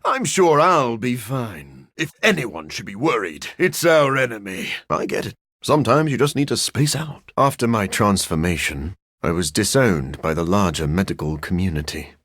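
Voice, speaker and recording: Brooding vampire scientist, male, husky, lively intonation, formal and dryly weary, video game antihero. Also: lowish voice, dry recording. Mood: composed, wry. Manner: formal, measured, dry, articulate.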